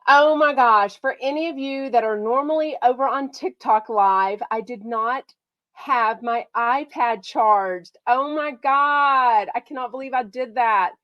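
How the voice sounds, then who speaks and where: A chatty woman hosts a gossip livestream, excitable and quick to gush. A mild cold leaves her a bit nasal. Her voice sits fairly high and sounds dry and close. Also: no echo, dull audio. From Texas. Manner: bubbly and excitable, lots of "like" and "oh my gosh". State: a slight head cold, nasal. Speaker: podcast host.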